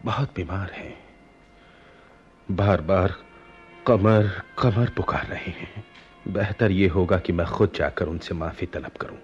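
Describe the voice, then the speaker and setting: An actor playing a lovesick young prince in a radio play, imperious yet earnest, with courtly theatrical diction. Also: male voice, deep voice, takes it slowly, pauses often, dull audio, soft background music. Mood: earnest, grave. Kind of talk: Hindi-Urdu radio play acting.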